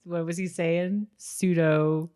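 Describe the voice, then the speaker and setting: A woman's voice: on the low side, little pitch movement, slow, dark tone, smooth. A woman co-hosting a podcast in a casual chat about a TV episode, often laughing as she talks. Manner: giggly, laughs often while talking. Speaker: podcast co-host.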